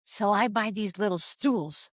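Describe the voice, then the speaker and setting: A woman in her sixties from California, a former cycling soigneur telling animated stories in an interview and acting out the dialogue. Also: medium pitch, unhurried, dry recording, studio quality. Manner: lively, acts out quoted dialogue.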